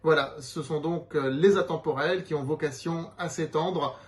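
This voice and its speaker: A man's voice: fairly high pitch, normal speed. A man giving an unscripted promotional presentation as a publisher's representative, explaining things in a hesitant way.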